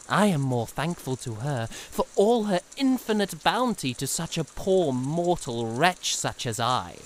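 A man's voice: medium pitch, a bit bright, a little hiss or hum. A man playing a haughty, courtly young knight in a fan audio drama, with grandiose, archaic, theatrical delivery. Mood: grandly courteous.

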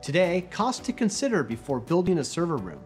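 A man in his thirties presenting a scripted explainer to camera in a measured, deliberate way. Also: on the high side, sing-song. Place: small indoor studio set. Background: background music.

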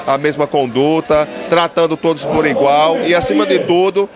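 Northeastern Brazilian mayor, man over loud crowd. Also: on the high side, fairly even intonation, normal speed. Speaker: town mayor being interviewed. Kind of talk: political interview answers. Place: outdoor night event, in front of a sponsor backdrop. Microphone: handheld microphone held by interviewer. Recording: loud crowd noise behind, outdoors.

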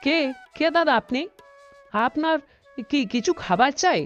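A woman's voice: high-pitched, expressive, measured pace, soft background music. A woman voicing a female household servant in a cartoon story, on a muffled recording. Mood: questioning. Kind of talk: Bengali moral-story cartoon acting.

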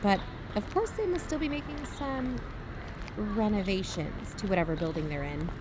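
A woman playing an earnest investigative podcast host, narrating in a composed, measured way. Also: mid-range voice, expressive, noisy background, close to an everyday voice. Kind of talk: scripted narration in audio drama.

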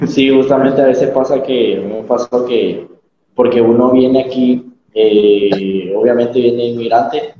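A man's voice: medium pitch, fairly even intonation, slow, a little hiss or hum. A young man speaks on a video call from a very echoey room. The sound comes through muffled and dull, and he talks hesitantly with some amusement. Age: young adult. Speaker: panelist. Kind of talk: casual chat on a video call.

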